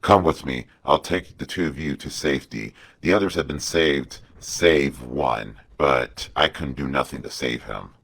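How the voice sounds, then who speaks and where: A man voicing a grim, commanding masked vigilante in a terse, stern, gravelly growl while telling a story. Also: a bass voice, unhurried, hardly any pauses, a bit bright, voice pushed down, full character voice. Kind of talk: amateur storytelling character voice.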